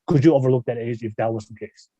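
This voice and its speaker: A man hosting a casual podcast chat, talking quickly with many restarts and hedges. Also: mid-range voice, mellow, no room sound. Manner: rapid, restarts and hedges a lot. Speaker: podcast host.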